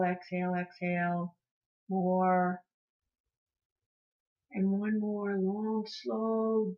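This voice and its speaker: A woman leads a guided meditation at a markedly slow pace. Her voice sits at a medium pitch, and she soothes and encourages as she repeats phrases. The recording sounds dull and lo-fi. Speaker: meditation teacher.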